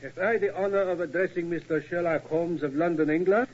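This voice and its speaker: Man playing an anxious old German keeper, high-voiced. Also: steady tone, close to an everyday voice. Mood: courteous. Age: older. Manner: halting, formal, pleading speech with foreign word order. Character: anxious, deferential older German tower keeper. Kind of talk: 1940s radio acting. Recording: muffled vintage radio recording.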